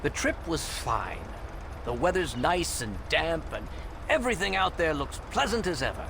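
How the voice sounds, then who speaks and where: An actor playing a salty, roguish ferryboat captain in a video game, a middle-aged man with a gravelly voice and a folksy, sly salesman's patter. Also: a little high, sing-song, moderate pace, background noise. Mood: sly, jovial. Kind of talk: video game character dialogue.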